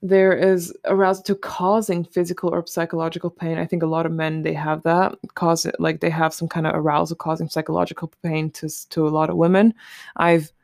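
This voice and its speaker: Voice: mid-range voice, flat delivery, a little fast, creaky, a little mellow, no echo, clean studio sound. A host chatting casually and candidly alone, rambling informally with some swearing. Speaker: solo podcast host. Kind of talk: casual rambling chat, commenting on a list.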